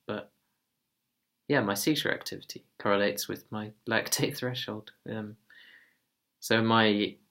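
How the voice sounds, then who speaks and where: A man giving a casual personal update in a slow, monotone, calm voice. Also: on the low side. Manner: soft-spoken, relaxed, occasional quiet laughs. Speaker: vlogger.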